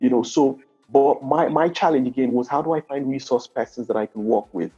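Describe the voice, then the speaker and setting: Nigerian-accented guest, middle-aged, mellow and a bit husky, methodical with many restarts, lo-fi sound. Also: male voice, mid-range voice, brisk, faint music behind. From Nigeria (Lagos). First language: Yoruba. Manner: explains step by step, with many restarts and repeated words. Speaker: guest, game developer. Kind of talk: interview conversation.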